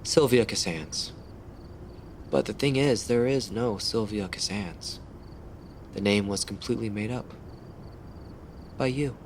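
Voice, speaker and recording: A man playing a determined, tough police detective in an audio drama, firm, deliberate and confrontational. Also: fairly low pitch, slight background noise. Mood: accusing.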